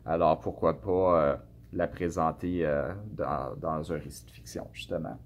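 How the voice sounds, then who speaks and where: A man from Canada with a Canadian French accent, answering students' questions about his book in a relaxed, hesitant way with many fillers and restarts. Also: rumbling low, measured pace, a little hiss or hum. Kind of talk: casual spoken answers to a class.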